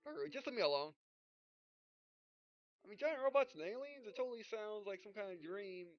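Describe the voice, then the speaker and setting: A woman doing let's play commentary, reading game dialogue aloud in a halting way and often correcting herself. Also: mid-range voice, animated. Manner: halting, stumbling read-aloud with frequent self-corrections. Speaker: gaming video host. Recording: dull, muffled lo-fi microphone. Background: game music.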